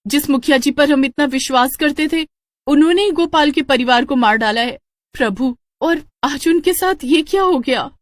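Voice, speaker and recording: A woman's voice: sits high, moderate pace, no echo, studio quality, pitched above the performer's own voice, lightly acted. A woman voicing a trusting, gossipy village aunt in a cartoon, in a dramatic, exclamatory way. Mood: dismayed, shocked. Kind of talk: Hindi cartoon character voice.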